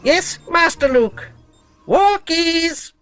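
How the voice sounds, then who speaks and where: Robot dog, obedient and literal-minded, high pitch, for audio drama. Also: male voice, animated, very slow, a bit bright, faint music behind, full character voice. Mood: eager, obedient. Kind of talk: fan audio drama acting.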